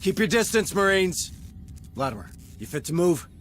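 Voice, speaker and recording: A man's voice: big pitch swings, moderate pace. A man playing a blunt, sardonic, commanding marine sergeant in a sci-fi video game. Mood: urgent command. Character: gruff, commanding male marine sergeant, battle-weary. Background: loud dramatic music, vehicle rumble.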